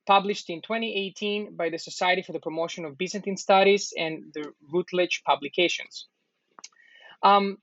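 A man's voice: high-pitched, fairly bright tone, no room sound. A man hosting a podcast, introducing his guest with many ums. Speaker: podcast host. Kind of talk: interview introduction. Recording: dull dry lo-fi audio.

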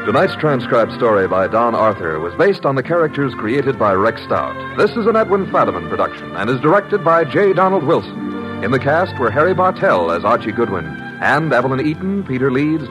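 A man's voice: medium pitch, sing-song, fairly quick, rough recording. A man announcing in a polished, scripted, promotional 1950s network radio style. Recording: vintage radio broadcast recording. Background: music.

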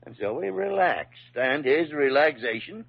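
Older senior doctor, husky, halting, folksy, emphatic, gruff but fair, muffled old radio recording. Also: male voice, on the high side, animated, full character voice. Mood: firm, gruff. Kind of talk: 1940s radio drama acting.

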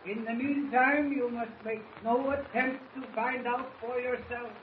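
An actor playing a solemn, evasive impostor posing as a superhero, commanding and portentous, in 1940s radio style. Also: male voice, very high-pitched, steady tone, unhurried. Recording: muffled old radio recording, faint noise.

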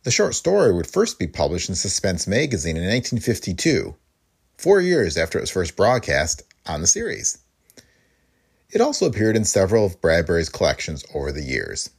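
Male podcast narrator, low gravelly voice, scripted read with big swings in pitch. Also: very animated, brisk. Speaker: podcast host.

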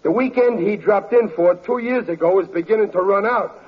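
A man's voice: high voice, brisk, lightly acted. An actor playing a sarcastic, grumbling working-class father with folksy, ungrammatical talk in a 1940s radio comedy. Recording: very muffled old radio broadcast.

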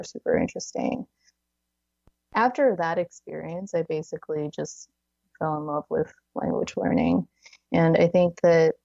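A woman's voice: on the low side, gravelly, a little mellow, no echo, dull audio. A woman in her thirties chatting casually as an interview guest, filling her talk with "like" and frequent little laughs. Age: 30s. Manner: chatty, many "like"s, laughs between phrases. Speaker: podcast guest.

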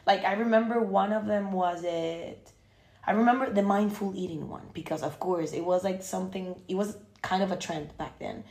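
A woman's voice: medium pitch, moderate pace, a little mellow. Hesitant woman from Costa Rica, roomy sound. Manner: hesitant, many fillers and restarts, searching for words. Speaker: podcast guest. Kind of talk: casual chat. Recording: roomy echo.

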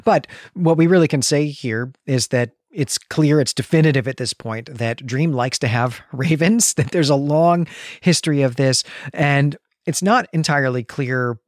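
A man's voice: mid-range voice, normal speed, no echo, studio quality. A man co-hosting a podcast, talking through a book in a chatty, informal and reflective way. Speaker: podcast co-host. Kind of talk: conversational book-club commentary.

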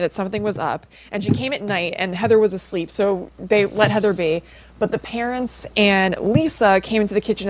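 A woman co-hosting a podcast, telling a story in a casual, conversational way with lots of informal filler phrasing. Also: mid-range voice. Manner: informal, peppers speech with like and kind of. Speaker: podcast co-host.

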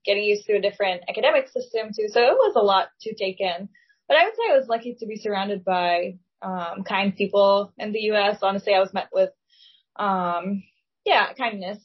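A woman's voice: medium pitch, husky, dull audio. A woman from Lebanon who speaks English as a second language, talking thoughtfully and carefully in a reflective, conversational interview. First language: not English (English is second language). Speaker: guest.

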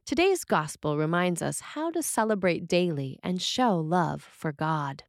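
A woman reading a scripted sermon as a preacher, with measured, deliberate phrasing. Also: on the low side, lively intonation, no room sound, clean studio sound.

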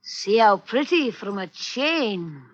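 A high-pitched, wheedling little sneak thief. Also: male voice, very animated, takes it slowly, lo-fi sound, exaggerated voice. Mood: fawning, wheedling. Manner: cajoling, pleading, repeatedly saying "mate". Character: small, wheedling, sly male pickpocket and drunk. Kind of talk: 1950s radio drama acting.

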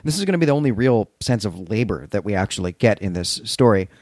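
A man's voice: on the low side, very animated, fast talker, darkish tone, no room sound, studio quality. A man co-hosting a podcast, recapping and commenting in a conversational, explanatory way with rhetorical check-ins. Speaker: podcast co-host.

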